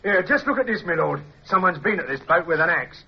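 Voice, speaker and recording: Rough-and-ready English sailor, higher-voiced. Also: male voice, animated, fairly quick. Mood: matter-of-fact. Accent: working-class English. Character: blunt, practical British sailor, loyal underling. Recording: dull, noisy old radio recording.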